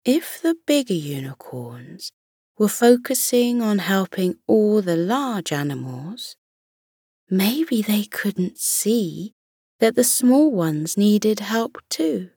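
A woman narrating a children's bedtime story in a slow, soothing style. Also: medium pitch, big pitch swings, warm tone, breathy edge, no room sound, studio quality.

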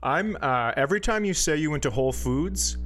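A man's voice: fairly high pitch, expressive, brisk, a bit bright. A man co-hosting a comedy podcast, reacting with wry interjections in casual banter.

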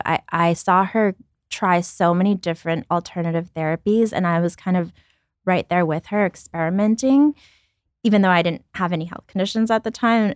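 Low-voiced woman in her thirties. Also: no room sound, clean studio sound. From born in Ukraine, raised in Brooklyn, New York. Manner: reflective, frequent restarts, light laughs. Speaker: guest, company founder. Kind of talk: candid podcast conversation.

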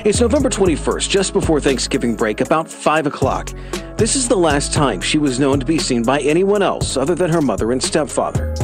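A man delivering a TV news report in a crisp reporter style. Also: a little high, big pitch swings, a little fast. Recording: broadcast clip with music.

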